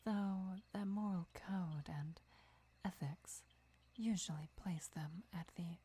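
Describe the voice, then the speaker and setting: A woman playing a gentle, devoted vampire lover who teaches patiently in a breathy, measured whisper for an ASMR roleplay. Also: fairly low pitch, full character voice. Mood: calm, instructive. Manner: measured, deliberate, soft-spoken explaining.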